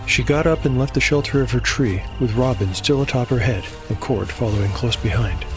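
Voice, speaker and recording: A man narrates a scripted fantasy drama as its host. His delivery is measured and solemn, in a mid-range voice, with faint music underneath. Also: medium pitch, poor audio. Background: background music.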